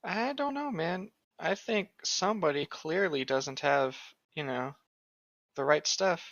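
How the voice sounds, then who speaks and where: A man's voice: a little high, expressive, a little slow, a bit bright, dry recording. A man co-hosting a podcast with joking, teasing, slangy banter on a dull lo-fi microphone. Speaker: podcast co-host. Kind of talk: casual banter.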